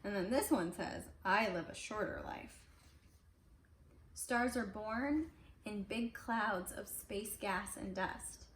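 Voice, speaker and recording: A woman's voice: mid-range voice, takes it slowly. A woman reading a picture book aloud to children in a casual, conversational way, adding little explanations as she goes. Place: indoors at home. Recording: roomy, echoey home recording with faint noise.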